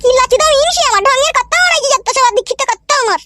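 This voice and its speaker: A woman's voice: extremely high pitch, animated, fast, heavy background noise. A woman voicing a grumbling, scolding middle-aged mother-in-law in a comedy cartoon, with a rural West Bengal accent and an artificially raised voice. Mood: cranky.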